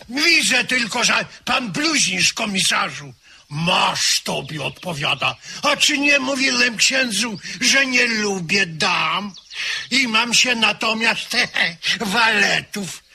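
An actor playing a talkative, chuckling village parish priest in an old radio drama. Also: male voice, sits high, bright, forceful tone, heavily acted. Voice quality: chatty, laughing storyteller. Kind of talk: vintage radio drama acting.

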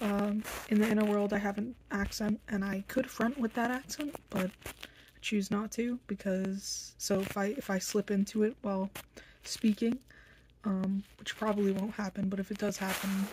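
A young woman talking to a phone in a small bedroom, blunt and irritable, halting with many fillers. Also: mid-range voice, steady tone, noisy background. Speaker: vlogger introducing themselves. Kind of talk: casual self-introduction to camera.

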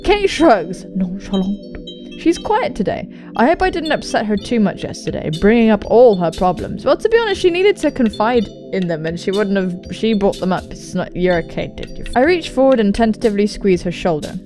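A woman's voice: mid-range voice, sing-song, moderate pace, a little mellow. A young woman hosting a gaming video, reading a visual novel aloud with sweary, jokey asides. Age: young adult. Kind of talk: let's play commentary and visual novel read-aloud. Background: music.

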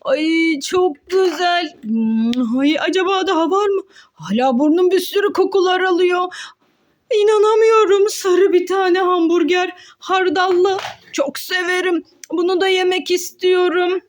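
Children's toy skit: female performer as excitable glutton, extremely high voice, exclamatory and melodramatic. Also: a little slow. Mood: greedy delight. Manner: exclaiming, gasping, melodramatic, talks to itself. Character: gluttonous excitable toy character sniffing out hamburgers, scheming cook.

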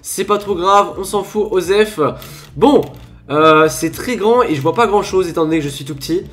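High-voiced man giving playful game commentary. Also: moderate pace. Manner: chatty, playful, bursts into laughing shouts. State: stuffy with a cold. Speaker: gaming YouTuber. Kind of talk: excited Minecraft commentary.

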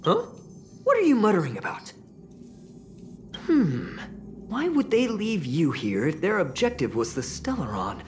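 A woman voicing an earnest, excitable young investigator in a sci-fi video game, expressively thinking aloud. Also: fairly low pitch, measured pace, pauses often, slight background noise. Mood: curious, analytical. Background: background music.

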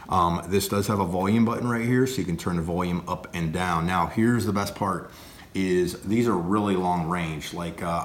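Middle-aged American man, low voice, off-the-cuff product reviewer explaining features casually; noisy home recording. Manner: conversational, explanatory. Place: open-plan dining room at home, indoors.

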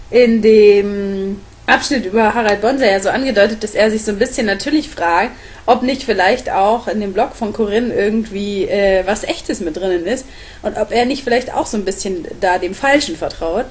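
A woman's voice: mid-range voice, a little fast. A young adult woman chatting casually and informally to camera as a vlogger, recorded at home on a webcam. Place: small room at home, on a sofa.

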